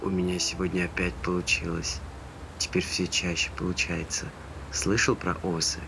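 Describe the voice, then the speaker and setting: A man's voice: sits low, little pitch movement, normal speed, vocal fry. A man playing a dreamy, eager friend who talks in a casual, slangy, coaxing way in a stage play. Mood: eager, confiding. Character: eager, dreamy male friend, amateur songwriter. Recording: noisy stage recording with ambient sound.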